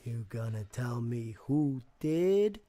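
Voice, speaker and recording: An actor playing a needling, impatient police colleague in an audio horror drama. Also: male voice, medium pitch, animated, takes it slowly, dark tone. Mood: impatient, curious.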